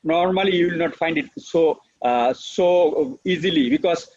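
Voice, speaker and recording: A man's voice: on the high side, measured pace, darkish tone, no echo. A middle-aged man from Bangladesh with a Bangladeshi accent, giving an earnest, unscripted webinar lecture full of fillers. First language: Bengali. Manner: earnest, repetitive, with frequent fillers. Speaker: professor giving a webinar talk. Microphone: video call. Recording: dull, lo-fi online call audio.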